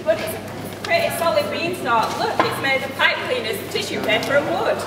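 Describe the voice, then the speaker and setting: A woman's voice: high voice, expressive, fairly quick. A woman playing an eager, cheerful young boy hero in an amateur stage pantomime, with wide-eyed, declamatory delivery. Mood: defensive. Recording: very echoey noisy hall from far off.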